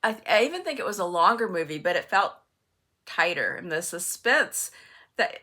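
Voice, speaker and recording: A woman's voice: on the low side, sing-song, moderate pace, pushed and bright. An older woman chatting casually in a book vlog, conversational and wry, with some self-deprecating humour. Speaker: book vlogger and co-host.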